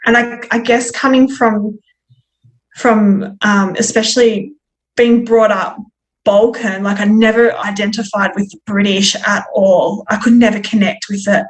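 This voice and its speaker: A woman guest telling her personal story in conversation, reflective and candid, with a halting delivery. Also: medium pitch, steady tone. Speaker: podcast guest.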